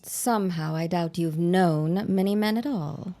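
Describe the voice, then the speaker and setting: A woman's voice: on the low side, a little slow, dark tone. An actress playing a haughty, spiteful woman in a period audio drama, with a cool, cutting, disdainful manner. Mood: sneering contempt.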